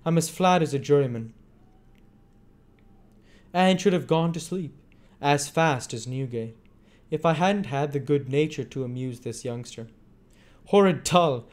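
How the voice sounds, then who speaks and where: A man's voice: a little high, expressive, a little slow, long pauses, a little mellow, pitched above the performer's own voice. A man voicing a haughty, flashy London thief, grumbling in boastful slang in a storybook reading. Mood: bored grumbling. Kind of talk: acted dialogue in a classic novel reading.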